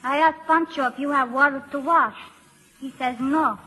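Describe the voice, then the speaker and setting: An actress playing an earnest, naive young Mexican girl with halting English in a 1940s radio drama. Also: high-pitched, fairly even intonation, sharp tone. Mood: meek, puzzled. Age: young adult. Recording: reverberant old radio broadcast.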